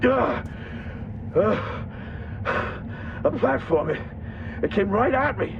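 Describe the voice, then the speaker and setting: A man's voice: expressive, slow pace, dull audio, exaggerated voice. A man playing a beleaguered, wisecracking engineer in a video game, understated and droll in a naturalistic style. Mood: shaken. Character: beleaguered, wisecracking male engineer exploring a mining base. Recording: clear background noise and rumbling ambience.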